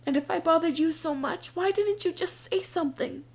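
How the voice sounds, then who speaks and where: A woman's voice: very high-pitched, normal speed. A woman playing a spirited young girl disguised as a newsboy in a fan audio drama, talking in an earnest, pleading way. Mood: hurt. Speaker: Brenna, called Trix.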